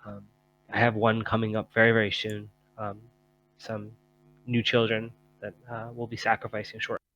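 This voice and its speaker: Guy doing a solemn flayed cultist surgeon for a tabletop game, lowish voice, halting and formal. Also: completely flat delivery, fairly bright tone, highs cut off, a little hiss or hum, lightly acted. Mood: devout, matter-of-fact. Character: solemn flayed cult surgeon, quietly devout, mutilated.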